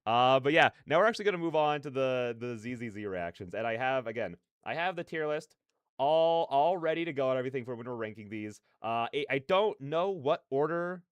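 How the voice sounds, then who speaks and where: A man's voice: mid-range voice, animated, a little fast, smooth, dry recording, lo-fi sound. A man hosting a livestream, chatting casually and animatedly in slangy, rambling opinions. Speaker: livestreamer, reaction host. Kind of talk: casual livestream chat with viewers.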